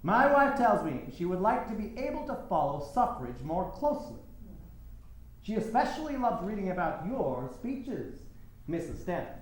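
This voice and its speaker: A man's voice: sits high, big pitch swings, normal speed. A man playing a rich, pompous political showman who woos and interrupts in grand oratory, in a staged play reading. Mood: boastful, expansive. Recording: very echoey hall, faint background noise.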